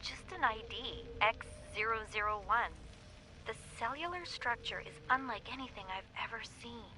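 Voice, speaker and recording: Diligent female biologist for a game audio log: highish, bright, conversational, puzzled, faint background noise. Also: moderate pace, poor audio. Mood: baffled, intrigued.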